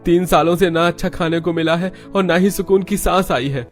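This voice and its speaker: A man playing a bitter, wronged husband in an animated family melodrama. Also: sits high, brisk, soft background music, close to an everyday voice. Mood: bitter, despairing. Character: bitter, wronged young husband and struggling farmer. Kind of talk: animated Hindi family story acting.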